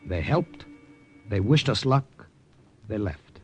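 A man's voice: fairly low pitch, expressive, slow, music in the background. An actor playing an American spy who narrates his wartime mission in a plain, matter-of-fact American voice. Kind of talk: 1940s radio drama narration.

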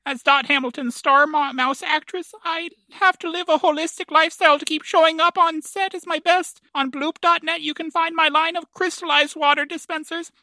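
Man as a high-voiced pretentious mouse actress. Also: steady tone, normal speed, hardly any pauses, pushed and bright, dry recording, exaggerated voice. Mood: smug, promotional. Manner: affected, pitching like a spokesperson. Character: self-promoting, pretentious female celebrity mouse actress.

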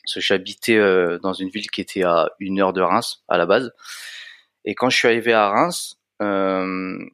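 A man in his thirties from France speaks in a somewhat low voice, relaxed and a little hesitant, filling gaps with small pauses. The sound is dry and close. He talks like an interview guest explaining himself in plain, easy words. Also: clear, resonant tone, dry recording. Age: 30s. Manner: relaxed, hesitant with frequent fillers. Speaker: guest, fitness coach and content creator. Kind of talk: casual interview chat.

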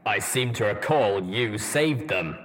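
A stern armored pony veteran speaks slowly and evenly, with quiet authority, as a male narrator puts him on. His voice is low and controlled. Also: expressive. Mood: calm. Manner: level, commanding, measured.

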